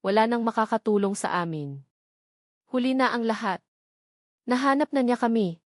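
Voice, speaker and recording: A woman's voice: on the high side, moderate pace, no echo, lightly acted. Desperate young runaway woman, played by a female storyteller, urgent pleading, dry recording. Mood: bitter, hopeless. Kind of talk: dramatic storytelling dialogue.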